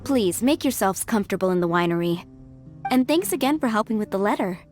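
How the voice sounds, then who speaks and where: Woman as a proper game head maid, polite n formal, medium pitch, faint music under it. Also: animated, brisk, close to an everyday voice. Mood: gracious. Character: poised, courteous female head maid of a wealthy household. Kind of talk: video game character dialogue.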